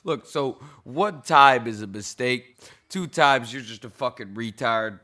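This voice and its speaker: A man in a hoarse, cracking voice, a little drunk, giving a profane, heated, rambling reaction to a football game. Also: mid-range voice, unhurried, sharp tone. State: hoarse, intoxicated. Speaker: sports fan vlogger. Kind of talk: casual game reaction rant.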